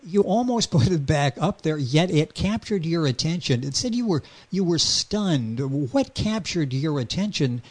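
A man's voice: a little high, sing-song, unhurried, hardly any pauses. A man hosting a radio talk show, interviewing an author with upbeat, emphatic introductions.

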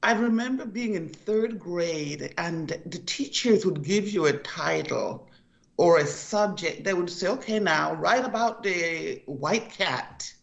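A woman's voice: on the low side, vocal fry, muffled recording. An older woman from Costa Rica, a guest author in an interview, who talks in a chatty, storytelling way and tends to repeat herself. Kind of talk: interview conversation.

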